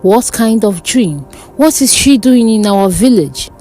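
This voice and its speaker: A woman voicing wary, questioning villagers in a dark folk tale. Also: a little high, animated, measured pace, faint music behind. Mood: suspicious. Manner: questioning, suspicious. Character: wary, whispering village crowd, curious and suspicious.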